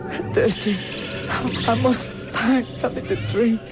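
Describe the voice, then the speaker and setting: A haunted young man, his voice pitched high and his words slow and faltering with fear. Heavy music runs under the dull old radio sound. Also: steady tone. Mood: bewildered. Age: young adult. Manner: halting, stammering, fearful. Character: frightened young man lost in a ghostly afterlife. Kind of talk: 1970s radio drama acting.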